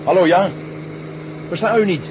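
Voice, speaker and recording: Casting: man as dutiful helicopter pilot, higher voice, quick, deferential, radio-play style over roaring engine. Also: dull audio, natural voice. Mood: alarmed. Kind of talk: 1970 Dutch radio drama acting. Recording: old radio recording, loud engine noise.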